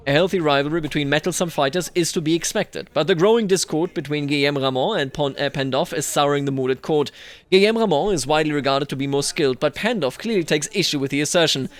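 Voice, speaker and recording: A man's voice: fairly high pitch, fast talker. A man hosting a gaming video, thinking aloud in a musing way as he roleplays a strategy game. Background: music.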